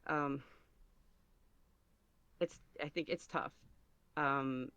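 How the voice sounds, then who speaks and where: A man giving casual podcast interview answers as a guest, halting and thinking aloud as he goes. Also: on the high side, steady tone, sharp tone, clear, resonant tone, dry recording, dull audio. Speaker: podcast guest, ASMR roleplay creator.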